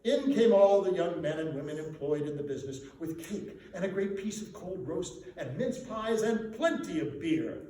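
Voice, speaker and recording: A man's voice: on the high side, animated, dark tone. Theatrical male storyteller in an echoey room. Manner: theatrical, emphatic, deliberate phrasing. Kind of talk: dramatic literary reading. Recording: very echoey room, distant mic, background noise.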